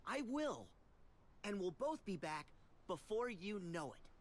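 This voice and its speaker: A man's voice: high voice, sing-song, a little slow. A man playing an earnest, determined teenage boy hero in a video game cutscene, sad but resolute. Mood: resolute, grateful.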